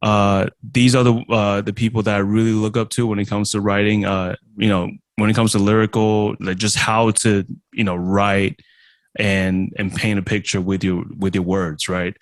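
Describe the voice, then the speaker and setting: A man from Taiwan whose first language is Chinese, a musician and streamer answering interview questions in a halting way, with many restarts and fillers. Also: lowish voice, flat delivery, no echo. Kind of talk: casual interview.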